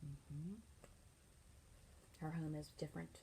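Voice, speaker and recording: A middle-aged woman, a teacher reading a picture book aloud to children, expressively and with pauses to ask them leading questions. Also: low voice, flat delivery, creaky, mellow, slight background noise, rough recording. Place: small furnished room at home, indoors.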